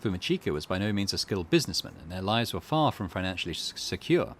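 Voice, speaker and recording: Low-voiced man narrating a story. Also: very animated, fast talker, slight background noise. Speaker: gaming channel host. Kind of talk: reading visual novel narration aloud.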